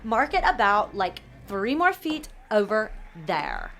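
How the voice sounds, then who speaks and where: A woman's voice: medium pitch, sing-song, slow, vocal fry, fairly bright tone, exaggerated voice. Woman acting a haughty, drawling pageant diva. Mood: disdainful. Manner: haughty, sneering, peppers talk with "like". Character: snobbish, nasty female beauty pageant contestant. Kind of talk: comedic audio drama acting.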